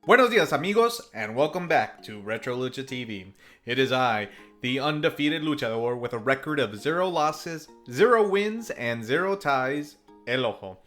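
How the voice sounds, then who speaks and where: A man's voice: mid-range voice, expressive, normal speed, fairly bright tone. A man hosting a film review series, reading a scripted recap with playful, self-mocking commentary. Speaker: host and narrator of a retro lucha libre film review series. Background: music.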